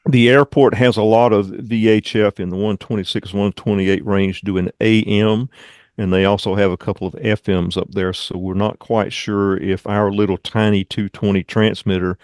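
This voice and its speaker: A man leading an online club meeting, giving informal updates in a halting, rambling, conversational way. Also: fairly low pitch, little pitch movement, a little mellow, no room sound, studio quality. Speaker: radio club meeting leader and presenter.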